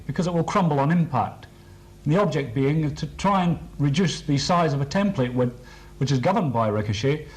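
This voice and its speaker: A man's voice: on the high side, low-quality recording. A British man giving a formal scripted briefing for a military training film, precise and matter-of-fact.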